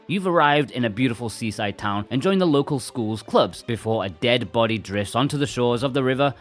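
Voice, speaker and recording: Lively man narrating game reviews. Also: medium pitch.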